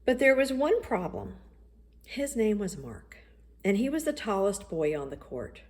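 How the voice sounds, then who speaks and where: A woman reading a children's storybook aloud as an expressive narrator. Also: medium pitch, unhurried. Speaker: audiobook reader.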